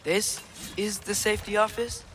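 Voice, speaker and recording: A man's voice: sits high, little pitch movement, normal speed, background noise, natural voice. An actor playing an earnest, empathetic teenage boy in a video game, casual and sincere. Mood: curious, casual. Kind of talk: cinematic video game acting.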